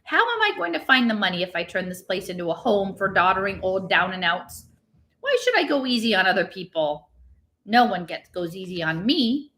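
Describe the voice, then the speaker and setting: A woman voicing a grumpy, defensive male innkeeper, protesting irritably in an expressive storybook reading. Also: mid-range voice, moderate pace. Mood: defensive, fierce. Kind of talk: expressive storybook read-aloud.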